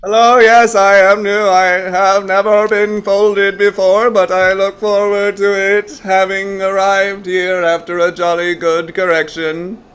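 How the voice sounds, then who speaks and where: An actor playing an eager, formal and pedantic non-human newcomer who poses as a human in an audio comedy. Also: male voice, sits high, level tone, a little slow, few pauses, fairly bright tone, smooth. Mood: eager, polite. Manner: formal, pedantic, literal-minded. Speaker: new pupil in an online acting class. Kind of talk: comedy audio drama acting.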